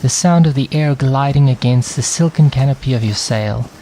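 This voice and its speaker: Mellow male commercial voice-over. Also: mid-range voice, slight background noise. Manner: smooth, evocative, unhurried read with rhetorical questions. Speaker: voice-over artist.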